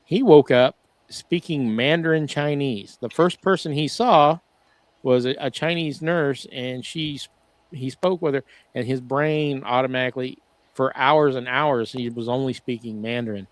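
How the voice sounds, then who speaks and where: A man co-hosting a casual podcast discussion over a video call, talking in a rambling, halting way with lots of "uh" restarts. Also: medium pitch, no echo. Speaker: podcast co-host.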